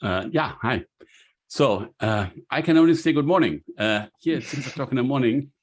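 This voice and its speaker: A man's voice: medium pitch, animated, moderate pace. A man from Vienna, an executive coach chatting hesitantly on a video call. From Vienna, Austria. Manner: hesitant, many uhs. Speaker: guest speaker, executive coach. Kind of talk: casual chat. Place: home in Silicon Valley.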